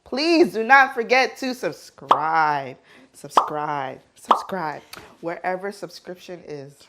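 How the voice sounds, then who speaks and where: A woman's voice: fairly low pitch, expressive, slow. A playful, jokey woman from Haiti, raised in New York, casually hosting a cooking tutorial and often checking in with the viewer. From born in Haiti, raised in New York, United States. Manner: repeats words for emphasis and checks in with "right?" and "okay?". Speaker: chef and cooking show host.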